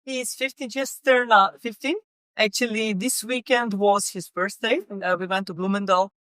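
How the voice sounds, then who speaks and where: Woman, smooth and halting. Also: mid-range voice, steady tone, a bit bright, no echo. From Serbia. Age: middle-aged. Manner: halting, many fillers and repeated words. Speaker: guest, company executive. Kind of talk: casual interview conversation.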